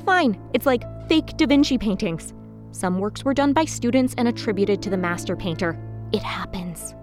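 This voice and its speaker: A woman's voice: mid-range voice, animated, moderate pace, over music, natural voice. Woman playing a pompous fan club commandante. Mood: defensive, emphatic. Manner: pompous, emphatic, correcting. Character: self-important fan club commandante, woman, fiercely certain. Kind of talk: mockumentary audio drama interview.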